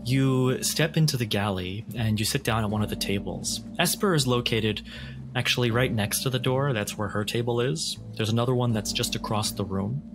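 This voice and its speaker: A man's voice: medium pitch, moderate pace. A man narrating a tabletop roleplaying game as its game master. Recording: music under the voice.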